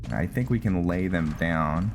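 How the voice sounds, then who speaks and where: A man's voice: sits low, moderate pace, a little hiss or hum. A man giving casual let's play commentary, thinking aloud in short, muttered reactions as he plays. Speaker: gaming video host. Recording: loud music under the voice. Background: loud game music.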